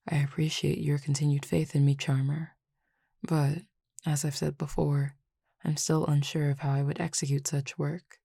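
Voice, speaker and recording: A woman playing a calm, teasing Cupid roommate with formal, measured speech in an intimate roleplay audio drama. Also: low voice, vocal fry, breathy edge, no echo, clean studio sound, heavily acted. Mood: teasing, fond.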